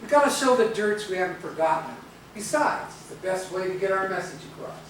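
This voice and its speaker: Seasoned rebel mentor male stage actor, earnest and high-pitched, distant noisy videotape. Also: expressive, quick pace, fairly bright tone, heavy reverb. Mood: determined. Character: seasoned rebel elder and parent, steadfast, idealistic mentor. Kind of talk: stage play acting. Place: theatre stage, recorded from a distance.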